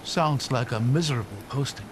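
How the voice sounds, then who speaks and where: A man's voice: mid-range voice, a little fast, noisy background, close to an everyday voice. A man acting a wry, regretful fugitive companion. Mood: dry wry. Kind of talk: video game cinematic acting.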